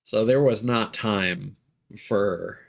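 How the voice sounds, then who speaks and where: A man's voice: on the low side, takes it slowly, gravelly. A man doing casual gaming commentary as a live streamer, rambling and opinionated as he thinks aloud. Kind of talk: casual gaming commentary, chatting while playing.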